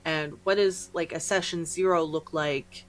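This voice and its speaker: Slow-paced woman hosting a casual interview. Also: low voice, fairly even intonation, a little hiss or hum, low-quality recording. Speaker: podcast host, interviewer.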